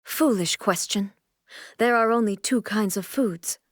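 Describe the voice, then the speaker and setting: An actress voicing a solemn, aloof immortal shogun and swordswoman in a video game, with formal, measured, stately delivery. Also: mid-range voice, no echo, studio quality, natural voice. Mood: stern, cold. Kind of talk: video game character voice lines.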